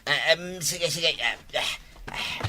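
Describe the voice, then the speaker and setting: Casting: male actor as a sneaky tippling village husband for Ilocano comedy drama, sharp bright voice. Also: on the high side, slow pace, heavily acted. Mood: sneaky, wheedling.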